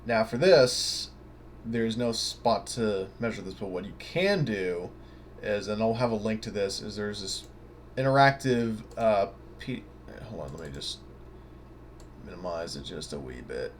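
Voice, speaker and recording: A man's voice: fairly low pitch, animated, unhurried. A man giving an informal, off-the-cuff tabletop game tutorial, rambling casually and thinking aloud over a noisy recording. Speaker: tabletop game guide presenter. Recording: noticeable background noise.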